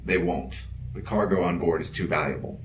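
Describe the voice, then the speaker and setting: An actor playing a stoic security officer in a science fiction audio drama, speaking in a clipped, matter-of-fact way. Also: male voice, low voice, normal speed, natural voice. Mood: businesslike, clipped. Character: stoic, terse male security officer leading a mutiny. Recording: loud background noise over dialogue.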